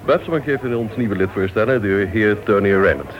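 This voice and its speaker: An actor playing a chatty, genial club member in a Dutch radio play. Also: male voice, on the low side, a little fast, over music, close to an everyday voice. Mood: genial, teasing. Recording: dull old radio recording.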